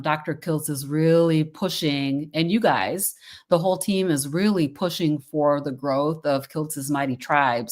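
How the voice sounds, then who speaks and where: An older woman hosting an interview show, chatty and enthusiastic, rambling with fillers. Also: deep voice. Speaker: podcast host. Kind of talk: casual interview.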